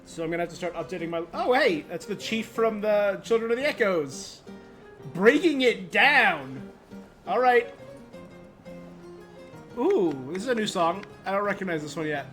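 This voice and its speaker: A male game streamer chatting casually as he plays. His voice is a little high, and faint music runs underneath his commentary. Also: animated, moderate pace, a bit bright. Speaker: game livestreamer.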